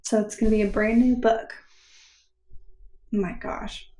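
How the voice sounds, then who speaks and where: A woman's voice: mid-range voice, level tone, a little slow, dark tone. A woman co-hosting a podcast, chatting casually about books in a roomy, echoey recording. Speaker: podcast co-host. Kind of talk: casual book chat.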